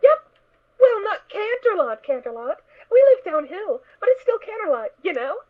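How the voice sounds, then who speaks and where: A woman's voice: very high voice, very animated, normal speed. A woman playing a sweet, naive little girl pony in a fan audio drama, with childlike, earnest, hesitant speech. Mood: innocent, chatty. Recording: tinny, narrow phone-like sound.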